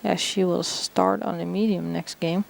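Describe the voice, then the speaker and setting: A woman's voice: lowish voice, fairly even intonation, moderate pace, gravelly. A woman from the Netherlands giving live bowling commentary and analysis in a casual, conversational way. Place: bowling championship venue. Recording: noisy hall, crowd behind. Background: bowling crowd.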